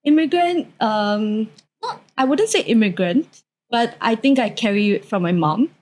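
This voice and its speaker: A woman's voice: fairly high pitch, lively intonation, unhurried, a little mellow. A woman in her twenties with a Singaporean accent, chatting casually as a talk show guest. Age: 20s. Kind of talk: casual chat.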